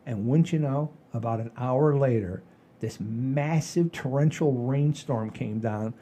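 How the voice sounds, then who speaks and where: Older guy podcast host, husky relaxed voice, rambly folksy storytelling. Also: medium pitch, measured pace. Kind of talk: casual chat and storytelling.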